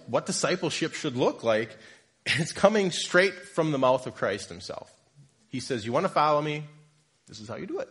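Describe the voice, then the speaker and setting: Male lay preacher, husky and a bit sniffly from a cold, chatty and self-deprecating in the pulpit. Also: mid-range voice, fairly bright tone. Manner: conversational, jokes with congregation, self-deprecating. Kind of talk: informal sermon. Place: church.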